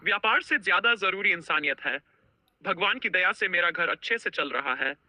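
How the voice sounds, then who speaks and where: A woman's voice: lowish voice, animated, normal speed, pushed and bright, dry recording. Woman doing a kind village shopkeeper man. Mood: gentle.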